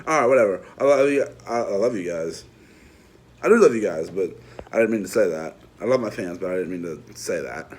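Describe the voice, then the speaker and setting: A man's voice: deep voice, animated, fairly quick, a little mellow. A man giving a casual, unscripted movie review, rambling through restarts and side remarks while talking straight to his viewers. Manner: rambling, lots of restarts and trailing asides; chatty, addresses viewers directly.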